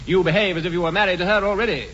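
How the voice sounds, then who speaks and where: An actor playing a suave, teasing young Victorian bachelor with an upper-class English accent, arch and insistent, in a 1940s radio comedy. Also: male voice, high-pitched, steady tone, racing, a bit bright. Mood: teasing disapproval. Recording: dull, noisy 1940s radio recording.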